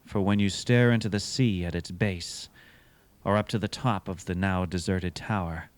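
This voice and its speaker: An actor playing a grave, ominous narrator of a scripted radio ghost story. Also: male voice, low-pitched, steady tone, normal speed, no echo, natural voice.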